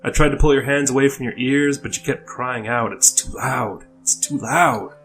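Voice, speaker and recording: Caring older brother played by a man, conversational and halting, mid-range voice, audio drama with faint music. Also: medium pitch, a bit bright, natural voice. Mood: tender, wistful. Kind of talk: dramatic audio story dialogue.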